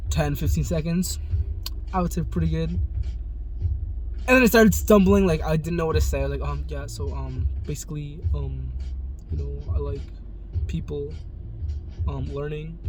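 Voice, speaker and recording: Casual young man rambling to camera. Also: a little high. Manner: rambling, loose and profane, many likes and ums. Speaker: vlogger. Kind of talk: casual vlog chat. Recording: noisy background ambience.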